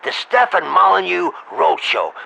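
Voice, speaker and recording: Gravelly guy, sharp edgy tone, pushy agent type, wheedling and crude, slight hiss. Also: sits high, big pitch swings, measured pace. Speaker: prank caller posing as an agent. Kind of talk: comic scripted phone pitch.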